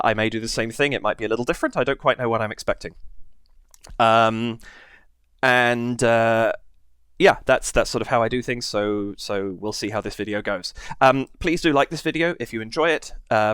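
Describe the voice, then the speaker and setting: A man's voice: mid-range voice, a bit bright, clean tone, no echo. A man hosting his reaction channel from a basement studio, chatting casually with a head cold and rambling through many fillers. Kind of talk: casual to-camera chat.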